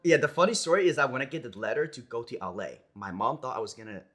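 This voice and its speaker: Young man, American accent, bright clear tone, casual rambling chat, interview guest. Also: mid-range voice, fast. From United States. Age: young adult. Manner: relaxed, rambling, many "like"s and "you know"s. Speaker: esports player guest.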